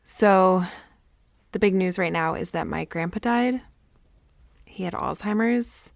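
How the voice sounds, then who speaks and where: A woman playing an excitable, self-important teenage girl who rambles dramatically through a confessional audio diary. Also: fairly low pitch, slow pace, creaky, dry recording. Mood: chatty, self-important. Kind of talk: confessional audio diary monologue, naturalistic acting.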